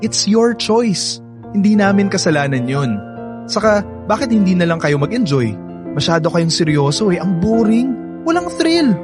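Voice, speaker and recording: Cocky Filipino playboy, animated pitch. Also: male voice, normal speed, over music. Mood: smug, argumentative. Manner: boastful, teasing, code-switches into English. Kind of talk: Filipino radio drama acting.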